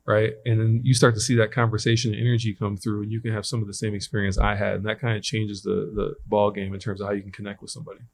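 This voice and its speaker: A man's voice: lowish voice, monotone, gravelly, slight background noise. A man on a conference panel, a startup founder talking thoughtfully with many ums and restarts. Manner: thoughtful, filler-heavy, builds points slowly. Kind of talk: panel discussion. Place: conference stage.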